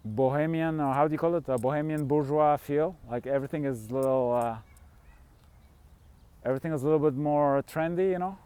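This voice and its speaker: A man's voice: on the high side, fairly quick, mellow, clear, resonant tone, dry recording. A middle-aged man from Switzerland, rambling candidly through a casual vlog monologue with the odd chuckle. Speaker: fasting coach and vlogger.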